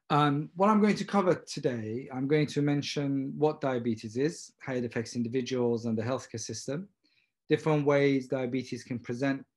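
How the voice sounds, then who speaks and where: A man, an eye surgeon, giving a relaxed webinar lecture over a computer microphone, with plenty of ums. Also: mid-range voice, breathy edge. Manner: relaxed, self-deprecating, frequent ums. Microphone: computer mic on a video call.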